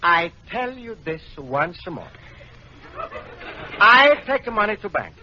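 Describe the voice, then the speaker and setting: On a noisy, muffled old radio recording, an earnest Italian immigrant. He has a high voice and a thick Italian accent. He talks in simple broken English, literal-minded and patient, as in a vintage radio comedy. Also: very animated, takes it slowly, a faint crowd, full character voice. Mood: patiently explaining.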